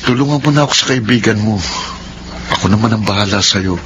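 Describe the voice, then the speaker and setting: An actor playing a persuasive, lovestruck charmer in a Filipino radio drama. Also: male voice, on the low side, fast, background noise, natural voice. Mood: coaxing.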